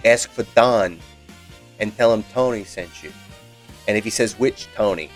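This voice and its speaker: A man's voice: lowish voice, normal speed, faint music behind, close to an everyday voice. A game master voicing a brash, bossy middle-aged male gang boss who talks like a wheeler-dealer. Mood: conspiratorial.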